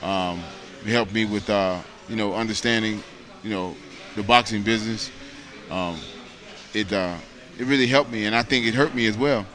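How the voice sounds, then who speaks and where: An American man in his late thirties with a fairly low voice. He talks like a boxer giving a casual interview, earnest and rambling, padding his thoughts with "you know.". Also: normal speed, a bit bright. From United States. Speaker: professional boxer, interview guest.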